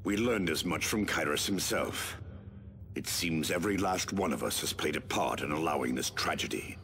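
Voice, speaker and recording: A devout warrior captain in a video game, speaks low with firm resolve. His voice is extremely husky and rasping. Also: normal speed, a bit bright, heavily acted. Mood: solemn resolve. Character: devout, resolute male space marine captain. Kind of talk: video game character acting.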